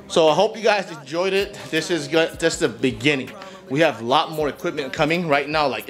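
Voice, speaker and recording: Man vlogging in an echoey garage. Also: mid-range voice. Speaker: vlogger, channel host. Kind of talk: casual home tour vlog narration. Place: small garage home gym, indoors. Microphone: camera mic, handheld or a few feet away. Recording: echoey garage with music behind.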